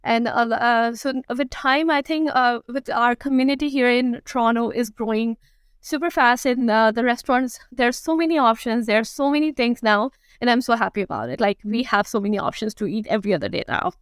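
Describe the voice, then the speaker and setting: A woman in her thirties with a Pakistani accent, chatting casually as an interview guest in a halting way, repeating phrases for emphasis. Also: fairly high pitch, smooth, no room sound, clean studio sound. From Karachi, Pakistan. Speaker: guest, food and lifestyle blogger.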